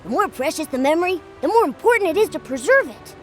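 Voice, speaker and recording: A woman's voice: extremely high pitch, big pitch swings, quick pace. A woman voicing a small, bubbly, eager talking cat companion in a video game. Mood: chirpy, eager. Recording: music under the voice.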